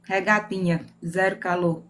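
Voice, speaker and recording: A woman's voice: fairly low pitch, steady tone, a little slow, fairly bright tone. A woman from Northeast Brazil with a Northeastern Brazilian accent, chatting playfully as a guest author on a live video call from home. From Northeast Brazil, Mossoró. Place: home room with air conditioning.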